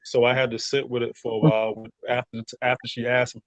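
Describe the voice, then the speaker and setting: Male interviewee, informal and thoughtful, halting delivery, close dry sound but muffled. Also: mid-range voice, level tone, no echo. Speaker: radio drama cast member being interviewed. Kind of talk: casual interview chat.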